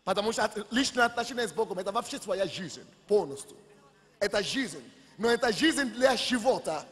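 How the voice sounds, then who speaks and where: A man's voice: high-pitched, expressive, fairly quick, fairly bright tone. A male pastor preaching a sermon with impassioned, emphatic declarations. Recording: long church hall reverb with music.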